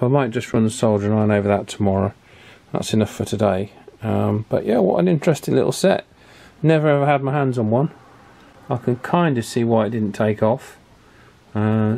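A middle-aged man with a mid-pitched voice talks through hands-on technical work in an informal way. He speaks hesitantly, thinking aloud, in the manner of a repair technician presenting from the bench. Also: darkish tone. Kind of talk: informal hands-on workbench commentary. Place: small indoor workshop, at the bench.

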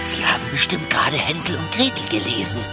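A man's voice: mid-range voice, big pitch swings, fairly quick, loud music behind the voice, natural voice. Fretful talking raven, wildly swooping man acting. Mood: alarmed. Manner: fretful, exclaiming. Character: worrying, scolding male talking raven. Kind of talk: children's radio play acting.

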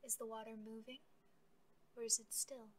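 A woman leading a guided meditation, speaking gently and calmly as she gives soft scripted instructions. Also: on the high side, normal speed, a little hiss or hum.

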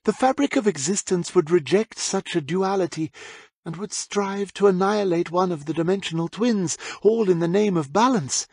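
Man voicing a gleeful, high-pitched cruel villainess. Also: moderate pace, vocal fry, darkish tone, slightly rough, no room sound, heavily acted. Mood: smug. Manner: condescending, theatrically polite. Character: gleeful, cruel female villain, mocking and aristocratic. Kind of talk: audiobook character voice.

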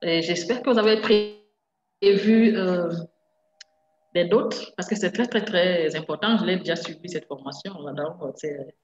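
A woman hosting an online session introduces the next speaker, pressing her point with emphasis and frequent hesitations. Her voice sits somewhat low. The call sounds roomy and muffled, with a faint hum underneath. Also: a little slow. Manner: emphatic, urging, with fillers. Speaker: event moderator. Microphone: video call. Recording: echoey dull video call with hum.

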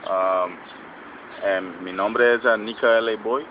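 A man's voice: on the low side, steady tone, takes it slowly. A man in his twenties from the Atlantic coast of Nicaragua, a reggaeton artist answering interview questions outdoors with many fillers and restarts. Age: 20s. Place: outdoors by a sports field in a city. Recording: lo-fi with street and traffic noise.

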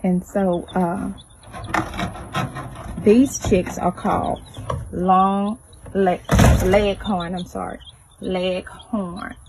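A woman's voice: mid-range voice, little pitch movement, extremely slow, pauses often. A middle-aged woman, giving casual commentary on a phone video in her backyard. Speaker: backyard chicken owner. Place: outdoors in a fenced backyard. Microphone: handheld phone behind the camera. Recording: noisy outdoor phone recording with birds. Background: chickens and chirping birds.

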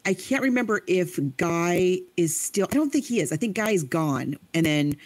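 A woman's voice: on the low side, expressive, moderate pace, no echo. A woman co-hosting a podcast, chatting casually about a book and acting out quoted lines in playful voices. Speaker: podcast co-host.

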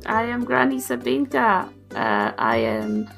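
A woman with a hoarse, creaky voice banters casually in a tabletop game. Her voice swings up and down, with light music behind. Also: on the high side, very animated, unhurried, a bit bright. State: hoarse, voice lost.

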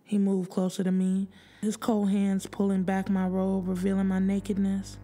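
A woman narrating first-person fiction as an audiobook reader, expressive and full of streetwise slang. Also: mid-range voice, normal speed. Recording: music bed under the voice. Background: background music.

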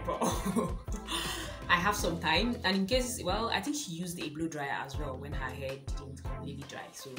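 A young woman with a Nigerian accent, chatting casually to a close camera in a small room, laughing often and teasing her own doubts. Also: sits low. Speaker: vlogger. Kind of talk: casual hair vlog. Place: small room indoors, rain outside. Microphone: camera close, at arm's length. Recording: echoey room with background music.

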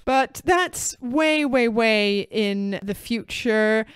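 Slow, smooth-voiced Swedish woman. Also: fairly high pitch, no echo. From southern Sweden. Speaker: podcast co-host. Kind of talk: casual history podcast chat.

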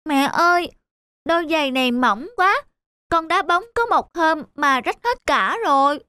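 An actor voicing a young schoolboy in a cartoon dub, scheming and petulant, with expressive delivery. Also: high voice, a little slow, no echo, full character voice. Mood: whining. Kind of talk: cartoon dubbing. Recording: music behind the voice.

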